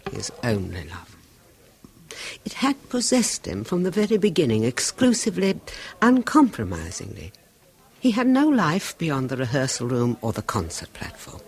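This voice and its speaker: An anguished violinist's wife in an old radio play. Her voice is husky and gravelly, sitting fairly high, and it swings widely in pitch. She confides her story intensely, sinking into hushed whispers. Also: huge pitch swings, moderate pace, exaggerated voice. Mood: haunted. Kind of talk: 1970s radio drama acting.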